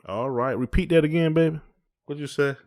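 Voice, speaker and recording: A man's voice: a little high, brisk, darkish tone. A man co-hosting a podcast in casual conversation, with a folksy, colloquial way of talking. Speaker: podcast co-host.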